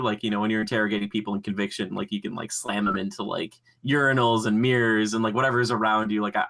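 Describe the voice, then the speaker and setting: A man co-hosting a podcast in casual chat, pitching his ideas in an excitable, slangy, rambling way. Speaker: podcast co-host.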